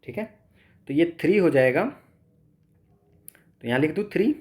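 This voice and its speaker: A man's voice: a little high, expressive, moderate pace, darkish tone. A man with an Indian accent teaching as a tutor, working step by step through problems, thinking aloud and answering his own questions. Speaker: physics tutor. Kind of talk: step-by-step exam problem lesson, mixing Hindi and English.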